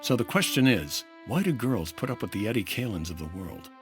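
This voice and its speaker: An actor playing a dry, relentless 1950s insurance investigator in an old-time radio drama, speaking in a clipped, wry, accusing way. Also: male voice, fairly low pitch, very animated, a little fast, soft background music. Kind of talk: old-time radio detective drama acting with narrated report.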